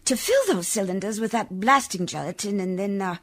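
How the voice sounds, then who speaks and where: A woman's voice: mid-range voice, brisk, a bit bright, close to an everyday voice. A woman playing a prim, proper and determined lady in a radio drama, speaking in formal, precise phrases and persistent questions. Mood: eager, scheming. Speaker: actor in a community radio theatre. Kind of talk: radio drama acting in an old Hollywood radio style.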